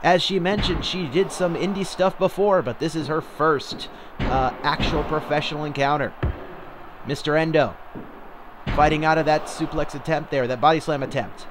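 A man's voice: fairly high pitch, moderate pace, noisy background, close to an everyday voice. Man doing a rambling, hesitant wrestling commentator. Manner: rambling, hesitant, full of fillers. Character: rambling male wrestling commentator, middle-aged. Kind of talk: play-by-play commentary.

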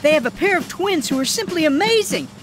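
A woman's voice: very high-pitched, lively intonation, a little fast, noisy background. A woman voicing an earnest boy footballer in a cartoon, explaining things seriously to his teammates. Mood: serious, informative. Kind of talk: animated cartoon dialogue. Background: background music.

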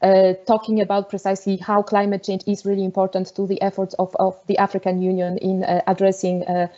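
Hesitant Polish-accented woman, mellow voice. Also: medium pitch, monotone, brisk, lo-fi sound. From Poland. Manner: hesitant, many fillers and restarts. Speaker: webinar speaker. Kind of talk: online lecture with slides.

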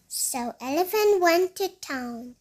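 A woman reading a picture book aloud as a storyteller, with an expressive, deliberate delivery for young children. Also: extremely high pitch.

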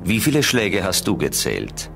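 An actor as the storyteller of a German children's detective radio play. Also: male voice, sits low, wildly swooping pitch, a little slow, vocal fry, music in the background, exaggerated voice. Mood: suspenseful. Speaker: narrator. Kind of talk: scripted audio drama narration.

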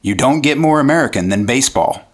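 An actor playing a plain-spoken, eager agent sidekick in a 1950s radio drama, talking in a casual, folksy way. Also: male voice, medium pitch, expressive, fast talker, breathy edge.

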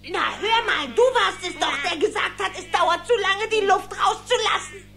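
Squeaky, cheeky boy duckling. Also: normal speed, fairly bright tone, faint music behind. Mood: bickering. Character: cheeky young boy duckling, sheepish nephew. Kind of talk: cartoon radio-play acting.

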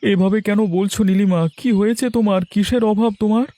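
A man's voice: high voice, fairly even intonation, brisk, dark tone, no room sound, lightly acted. A man playing a long-suffering, poor village farmer in a fairy-tale cartoon, earnest in delivery. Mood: pleading.

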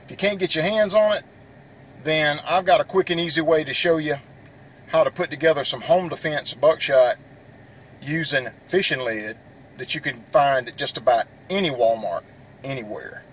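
A man's voice: a little high, moderate pace, a little hiss or hum. A middle-aged man giving casual how-to vlog talk, folksy and speaking directly to viewers. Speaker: outdoors and shooting vlogger.

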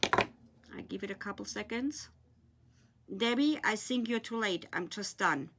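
A woman's voice: medium pitch, lively intonation, unhurried, very noisy. A woman with a German accent, English her second language, chatting casually to viewers as she demonstrates a craft on a live stream. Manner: chatty, loose grammar, talking to viewers while working with her hands. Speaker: craft shop owner hosting a live tutorial. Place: indoor craft room, at a glittery table. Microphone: phone or camera on a desk, filming her hands.

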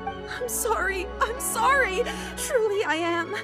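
A woman voicing a refined, earnest young gentlewoman in a video game, speaking politely and formally. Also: very high-pitched, expressive, slow pace, music in the background, heavily acted. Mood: apologetic.

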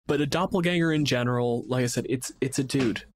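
A man's voice: mid-range voice, fast. A man co-hosting a podcast in casual, slangy, sweary chat, often acting out imagined lines. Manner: profane, slangy, acts out imagined quotes. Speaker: podcast co-host. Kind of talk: casual, animated chat about fantasy game monsters.

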